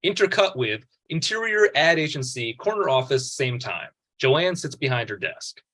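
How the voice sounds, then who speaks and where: A man's voice: mid-range voice, very animated, moderate pace, a bit bright. A man reading out screenplay stage directions as scripted narration at a table read.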